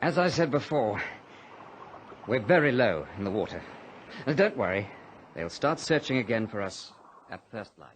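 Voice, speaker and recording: Actor as firm, lively-pitched male pilot in charge. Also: normal speed, pauses often, a little hiss or hum, lightly acted. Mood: calm, firm. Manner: firm, reassuring, authoritative. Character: level-headed commanding male pilot leading crash survivors. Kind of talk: radio drama acting.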